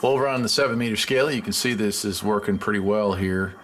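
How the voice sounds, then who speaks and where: Low-voiced man over clear background noise. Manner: unhurried, thinking aloud. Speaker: radio hobbyist presenter. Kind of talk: casual hands-on demonstration. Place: indoors beside a shortwave receiver.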